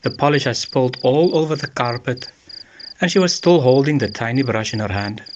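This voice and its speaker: A man's voice: mid-range voice, fairly quick, muffled recording, lightly acted. Man playing a methodical police inspector, noisy recording. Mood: probing, formal. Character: calm, methodical male police inspector questioning murder suspects. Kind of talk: amateur radio murder mystery acting. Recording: noisy amateur voice-message recording with clicks.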